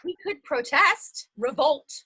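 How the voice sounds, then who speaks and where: An actress plays a woman aching to break out of confinement. Her voice is high and bright, with lively swings in pitch. She pleads and presses in impassioned stage-drama style, restless and full of longing. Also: slow, full character voice. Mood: defiant, urging. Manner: insistent, impassioned. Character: restless, yearning woman desperate to escape confinement. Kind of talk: stage play dialogue.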